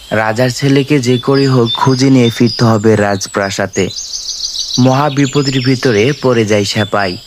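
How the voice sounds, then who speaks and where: A man's voice: medium pitch, background noise. A man narrating a scripted cartoon story as the storyteller.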